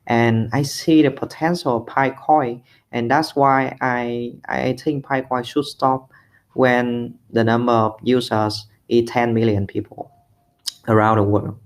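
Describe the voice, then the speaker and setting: A man's voice: mid-range voice, level tone, unhurried. A man from Vietnam with a Vietnamese accent, presenting a prepared opinion as a community discussion participant.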